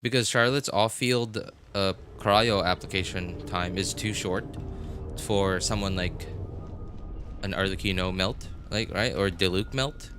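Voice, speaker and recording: A man's voice: deep voice, measured pace, a bit bright, a little hiss or hum. A man casually talking to viewers while live streaming a game, thinking aloud and often repeating himself.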